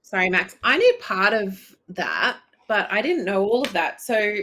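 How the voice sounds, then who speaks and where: A woman's voice: medium pitch, lively intonation, unhurried, a bit bright, a little hiss or hum. A woman co-hosting a podcast, introducing the guest and asking casual questions with upbeat interjections. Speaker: podcast co-host.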